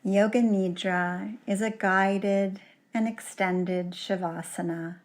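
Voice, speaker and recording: A woman yoga teacher leading a guided relaxation in a gentle, soothing manner, leaving long pauses between short phrases. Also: mid-range voice, fairly even intonation. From Canada.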